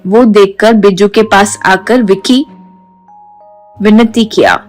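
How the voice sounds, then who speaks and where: A woman's voice: medium pitch, fairly even intonation, slow, a little mellow, faint music behind. A woman reading a scripted children's story as its narrator.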